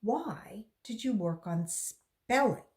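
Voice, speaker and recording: A woman voicing a stern, suspicious man who questions a child in a slow, clipped, probing way while reading a storybook aloud. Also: mid-range voice, expressive, darkish tone, voice pushed up.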